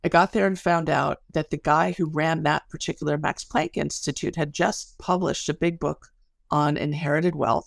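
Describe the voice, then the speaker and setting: Middle-aged American woman with creaky voice. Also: deep voice, no room sound, studio quality. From Chicago area, United States. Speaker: interview guest, sociologist. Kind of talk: conversational explanatory storytelling.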